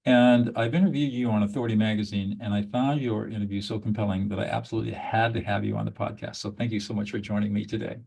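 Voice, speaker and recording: Man, podcast host, raspy gravelly low voice, effusive introductions. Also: steady tone, quick pace, few pauses, a little mellow. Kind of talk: interview hosting.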